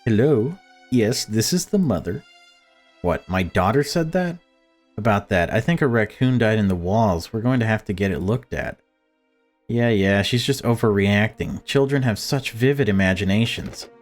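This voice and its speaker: A man's voice: lowish voice, expressive, moderate pace, faint music behind, close to an everyday voice. A man voicing a deluded, self-pitying mother who dotes and snaps, reading visual novel dialogue aloud. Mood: dismissive.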